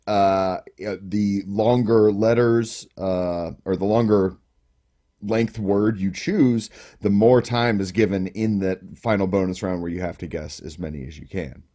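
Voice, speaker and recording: Low-voiced, relaxed podcast co-host man. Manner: relaxed, explains at length with many fillers. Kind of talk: casual chat.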